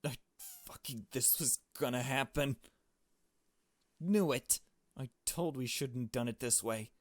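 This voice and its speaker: A man's voice: mid-range voice, takes it slowly, no echo, voice pushed up, natural voice. A man voicing a hot-tempered, impatient young male accomplice in a visual novel, brash, sarcastic and foul-mouthed. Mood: furious.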